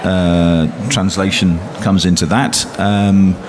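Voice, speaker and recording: A man's voice: sits low, slow. A man, a poet speaking on a panel, hesitant with many restarts and fillers. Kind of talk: panel discussion. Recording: loud traffic noise behind.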